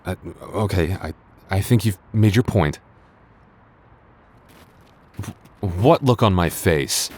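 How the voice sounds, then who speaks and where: A man's voice: low-pitched, lively intonation, takes it slowly, long pauses, darkish tone, slight background noise. A man playing a teasing, easily embarrassed childhood friend confessing love in a boyfriend roleplay, stammering and hesitant. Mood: teasing, flustered. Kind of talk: boyfriend roleplay audio drama.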